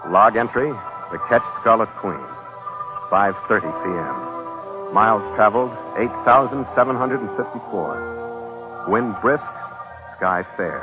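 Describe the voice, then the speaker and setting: Tough, terse sea captain, hot-tempered, 1940s-style radio adventure narration, thin old recording. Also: male voice, fairly low pitch, expressive, a little slow, pauses often. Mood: solemn. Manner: hard-boiled, terse. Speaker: lead actor and narrator. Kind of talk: old-time radio adventure acting, first-person narration. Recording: tinny vintage radio recording, loud music.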